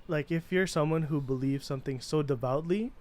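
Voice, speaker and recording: A young man co-hosting a casual podcast, talking in an easygoing, drawn-out way and laughing often. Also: a little high, a little mellow. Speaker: podcast co-host. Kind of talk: casual chat.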